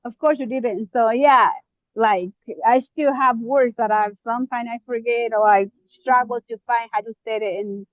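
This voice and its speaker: A woman from Mexico with a Mexican Spanish accent, a real estate investor talking casually on a video call, often pausing and searching for words. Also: on the high side, fairly even intonation, no room sound, narrow, tinny audio. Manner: halting, searching for words, many fillers. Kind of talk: casual online meeting chat.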